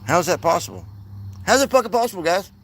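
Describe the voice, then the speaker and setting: A man hosting his channel, talking casually and profanely over his screen, rambling and excitable with many asides to viewers. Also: sits high, fast. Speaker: channel host. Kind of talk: casual screen-share commentary.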